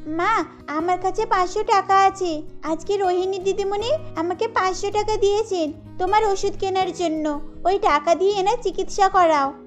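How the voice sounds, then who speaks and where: Cartoon role: woman voicing a sincere poor little girl, very high voice, soft music behind. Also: steady tone, normal speed. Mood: earnest. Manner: earnest, pleading. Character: poor young girl, earnest and kind. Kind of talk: Bengali cartoon dubbing.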